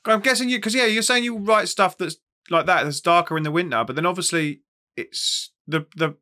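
A man's voice: sits high, fairly quick, pushed and bright. A man hosting a music interview, chatting casually with enthusiastic, slangy delivery. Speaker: music show host and interviewer.

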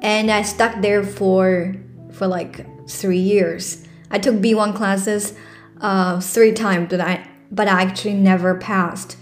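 A woman's voice: medium pitch, fairly even intonation, takes it slowly, soft background music. A young woman from China with a Chinese accent hosts her own podcast in a casual, earnest way, full of fillers and restarts. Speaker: podcast host. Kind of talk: casual solo podcast talk.

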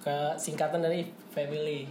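High-voiced young man from Papua. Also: normal speed. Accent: Jakarta. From Papua, Indonesia. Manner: relaxed, slangy, animated retelling. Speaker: podcast guest. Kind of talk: casual storytelling chat. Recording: echoey room, faint music.

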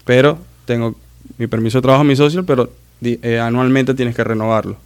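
Smooth-voiced man telling stories. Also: medium pitch, steady tone. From Maracaibo, Venezuela. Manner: chatty storyteller, quick self-corrections. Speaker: guest, muralist. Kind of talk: casual interview chat.

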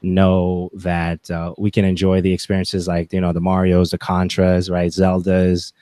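A man in his forties talking reflectively as a podcast guest, with halting, deliberate phrasing. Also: low voice, completely flat delivery, dark tone, no room sound. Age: 40s. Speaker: podcast guest, gaming content creator. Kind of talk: reflective interview talk.

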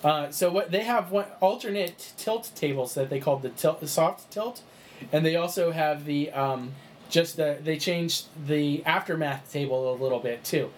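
Man, game master, chatty, fillers and restarts, fairly high voice, faint hiss. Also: moderate pace. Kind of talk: explaining rules in a tabletop game session.

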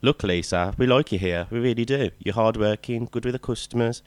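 A man's voice: mid-range voice, fairly quick, no room sound, lightly acted. A man with a British accent playing a stern, reproachful shop manager in an audio drama, firm and lecturing. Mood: measured praise.

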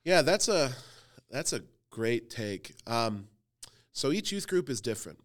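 A man's voice: mid-range voice, sing-song, measured pace. A man in his thirties from Cleveland, a youth pastor talking casually on a podcast with lots of fillers and self-deprecating humor. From Cleveland, Ohio.

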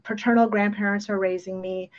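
A woman's voice: mid-range voice, fairly even intonation, fairly quick. Dull-sounding recording of an American woman storytelling. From New York City, USA. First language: Ukrainian. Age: 50s. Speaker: podcast guest. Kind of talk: casual personal storytelling.

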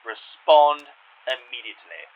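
A man's voice: mid-range voice, animated, a little slow, lo-fi sound, a little hiss or hum. A man playing a stern, menacing Imperial starship officer in a space audio drama, giving clipped formal orders through a comm radio filter. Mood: cold, threatening. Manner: clipped, formal military commands.

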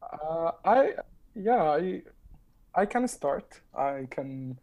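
Dark-voiced speaker with an Egyptian accent. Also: medium pitch, sing-song, very slow, poor audio. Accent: Egyptian Arabic. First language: Arabic. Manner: hesitant, many fillers, sarcastic humor. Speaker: roundtable guest. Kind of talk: casual discussion.

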